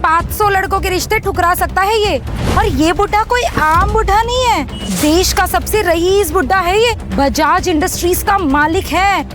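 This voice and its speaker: Very high-pitched emphatic gossip. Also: female voice, moderate pace. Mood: excited, gossipy. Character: gossiping female onlooker, awed by a rich old man. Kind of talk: Hindi audio drama acting. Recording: loud background music under the voice.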